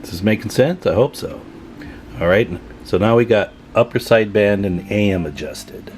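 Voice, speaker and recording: A man's voice: fairly low pitch, animated, moderate pace, darkish tone, a little hiss or hum. A man narrating a hands-on repair tutorial in a casual, folksy way as he works. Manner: folksy, informal, talking while working. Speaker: radio repair tutorial host.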